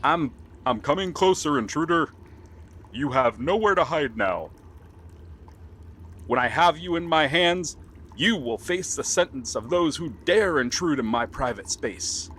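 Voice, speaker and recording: A man's voice: on the high side, expressive, measured pace, fairly bright tone, husky, heavily acted. A man playing a nervous, bookish young pirate prince in an ASMR roleplay, hesitant and earnest. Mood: startled, bluffing.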